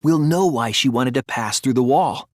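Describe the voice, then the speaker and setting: Casting: adult man to play a thoughtful teen boy sleuth for a game dub; earnest, deliberate, slightly breathy, very dry close sound. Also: medium pitch, no room sound, natural voice. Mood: reasoning, earnest. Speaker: video game voice actor. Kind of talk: English video game dub, dramatic deduction dialogue.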